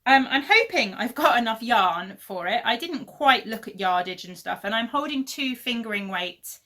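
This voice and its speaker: Chatty woman with a bright voice. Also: on the high side, expressive, normal speed. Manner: chatty, rambling, frequent "um" fillers. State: losing her voice. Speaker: podcast host. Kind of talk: casual knitting podcast chat. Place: at home, indoors.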